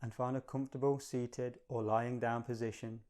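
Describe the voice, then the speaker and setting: A man guides a meditation in a smooth, resonant voice of medium pitch. His intonation stays very even, with little rise or fall. He gives gentle instructions in a calm, measured, soothing way.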